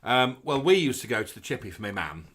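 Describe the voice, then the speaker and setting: A man's voice: medium pitch, fast. A man from the UK, co-hosting a casual live stream and telling nostalgic stories with frequent laughs. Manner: animated storyteller, laughs often. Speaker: co-host.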